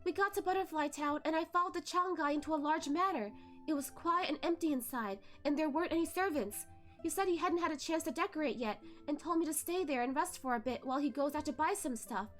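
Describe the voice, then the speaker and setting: A woman plays a male ghost courtesan full of bitterness, pitched very high and pushed hard with drama. He mocks and accuses in a hateful, storybook-villain manner, over faint music. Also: fast, a bit bright. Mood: bitter, scornful. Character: bitter, vengeful androgynous male ghost, a former brothel courtesan.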